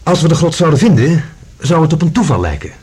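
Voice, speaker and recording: A man acting a suspicious expedition member who reasons deliberately in a science-fiction radio drama. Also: a little high, sing-song, darkish tone, husky, exaggerated voice. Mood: suspicious, reasoning.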